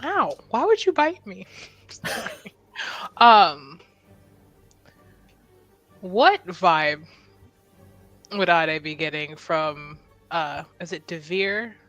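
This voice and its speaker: A woman's voice: on the low side, expressive, extremely slow, pauses often, a bit bright, no echo, soft background music, poor audio. A woman chatting casually and informally as a player in a tabletop roleplaying game, asking questions in loose, slangy phrasing.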